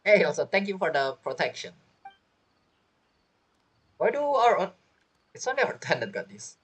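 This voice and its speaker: A man's voice: sits high, very animated, moderate pace, slightly rough. A young man doing casual, joking playthrough commentary, reacting aloud to a video game. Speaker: gaming streamer.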